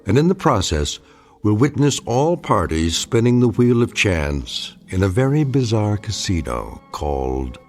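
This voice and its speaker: A man narrating an eerie radio drama in a measured, sardonic and portentous style. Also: fairly low pitch, sing-song. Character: solemn, sardonic male narrator of an eerie anthology. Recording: studio narration over music.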